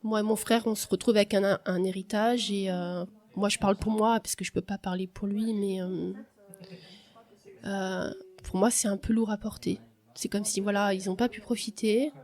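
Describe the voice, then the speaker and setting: A young woman from France giving a reflective, hesitant personal testimony on a podcast, with many pauses and restarts. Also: medium pitch, steady tone, a little mellow. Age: young adult. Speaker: podcast guest.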